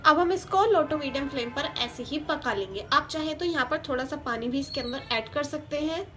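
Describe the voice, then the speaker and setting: A woman hosting a cooking channel, talking through a recipe one step at a time in a steady, teaching manner and repeating each step. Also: sits high, brisk, soft background music. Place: home kitchen. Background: sizzling and stirring in a pan.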